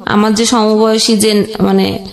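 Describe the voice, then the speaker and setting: A young woman with a Bangladeshi accent telling her own life story conversationally, in a halting, plain way with frequent rephrasing. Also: medium pitch, level tone, faint music behind. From Jashore region, Bangladesh. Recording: roomy echoing sound.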